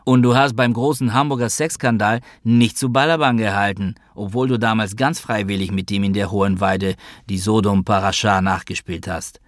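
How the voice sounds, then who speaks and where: A man's voice: lowish voice, fairly even intonation, normal speed, few pauses, no room sound, studio quality, lightly acted. A man playing a hurt, sarcastic younger brother, grown up and confrontational, in a literary audiobook story.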